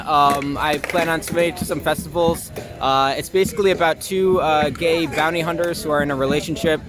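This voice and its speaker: A young man chatting casually in an interview, hesitating often and using filler words. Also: fairly high pitch. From New York. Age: young adult. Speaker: interview guest, actor and filmmaker. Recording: noisy outdoor city traffic.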